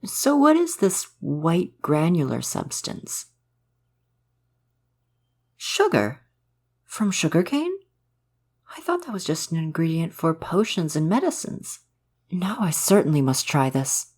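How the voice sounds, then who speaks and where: A man playing a gentle, courtly forest spirit in an ASMR roleplay, speaking in formal, old-fashioned, polite phrases. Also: on the high side, sing-song, slow, long pauses, exaggerated voice. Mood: fascinated, curious. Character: gentle, courtly male forest spirit, curious about humans. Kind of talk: ASMR roleplay, soft romantic audio drama.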